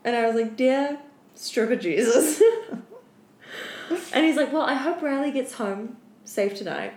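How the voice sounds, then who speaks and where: A woman chatting casually as a podcast co-host, telling stories in an animated, slangy way and often laughing. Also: fairly high pitch, unhurried. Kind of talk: casual chat, storytelling. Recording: roomy, echoey room.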